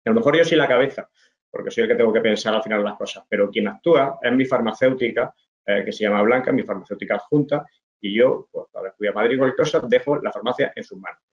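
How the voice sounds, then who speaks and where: A man's voice: mid-range voice, quick pace, muffled recording. A middle-aged man from Spain giving an online talk to pharmacy students, explaining with many fillers and restarts. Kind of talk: informal online presentation over slides. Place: indoors at a desk. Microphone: webcam video call.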